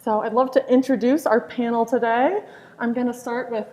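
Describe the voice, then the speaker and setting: A woman's voice: fairly high pitch, steady tone, normal speed, a little mellow. A woman hosting a live college panel, upbeat and encouraging. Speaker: host, marketing director. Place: campus hall. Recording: reverberant hall.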